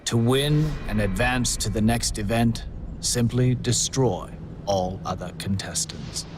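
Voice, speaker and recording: An actor playing a suave, gleefully morbid contest master, briefing players in a video game with deliberate, silky menace. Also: male voice, mid-range voice, background noise, natural voice. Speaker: contest host. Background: music.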